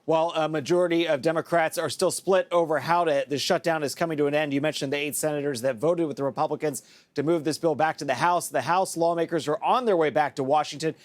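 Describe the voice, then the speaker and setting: A man's voice: on the high side, fairly even intonation, quick pace, no room sound. A man reporting live as a television news correspondent.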